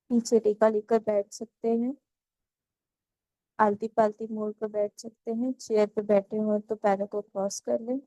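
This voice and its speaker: A woman leading a guided meditation in a calm, unhurried, soothing manner. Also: medium pitch, dry recording, low-quality recording. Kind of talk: slow guided meditation instruction.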